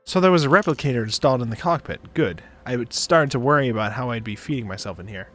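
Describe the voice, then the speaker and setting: A man reading a visual novel aloud as a streamer, with expressive narration. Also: mid-range voice, fast talker. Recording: dry close mic, background music.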